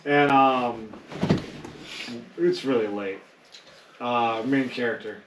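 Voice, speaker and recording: A man's voice: medium pitch, takes it slowly. A man on a podcast panel chatting casually, a little hesitant as he searches for words. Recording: echoey room, faint noise.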